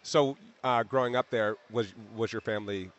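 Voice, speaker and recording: A man interviewing a guest in a casual, curious way, nudging the talk along with quick "yeah"s. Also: on the low side, fairly even intonation, dull audio. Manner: curious, easygoing, short affirmations.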